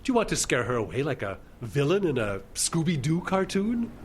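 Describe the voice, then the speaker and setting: Fussy older gentleman, swooping voice. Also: on the high side, sing-song, fairly quick, slight background noise. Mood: wry, reproachful. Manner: genteel, theatrical phrasing. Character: fussy old-fashioned gentleman landlord, theatrical and reproachful. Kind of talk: comic radio drama acting.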